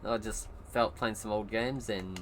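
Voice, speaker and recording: A man with a New Zealand accent chatting casually while he plays a game on a livestream, relaxed and quick to chuckle. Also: lowish voice, background noise. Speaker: gaming streamer. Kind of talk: casual live gameplay commentary. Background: video game music.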